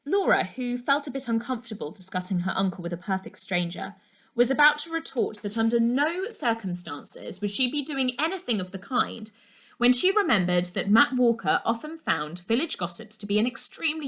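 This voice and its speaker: A woman narrating a children's novel aloud as a teacher would to a class, in an expressive storytelling style. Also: a little high.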